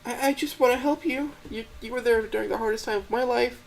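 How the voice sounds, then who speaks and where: A man voicing a gentle young female dinosaur who stammers and quivers as she pleads tearfully. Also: very high voice, fast, creaky, slight background noise, voice pushed up, poor audio. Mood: tearful pleading.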